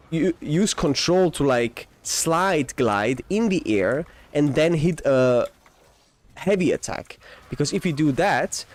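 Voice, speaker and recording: Austrian-born man streaming a game. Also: mid-range voice. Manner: rambling, frequent restarts and self-corrections; enthusiastic, laughs often. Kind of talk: casual live-stream gameplay chat.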